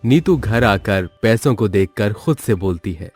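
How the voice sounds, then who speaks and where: Slow, low-voiced male storyteller. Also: expressive, darkish tone, breathy edge, dry recording, soft background music. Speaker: narrator. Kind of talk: scripted children's story narration.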